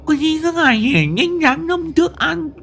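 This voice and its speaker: A man voicing a scared young village wife who lisps and stammers, high and shrill. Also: expressive, normal speed, vocal fry, fairly bright tone, exaggerated voice. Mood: frightened praying. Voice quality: lisping, stammering.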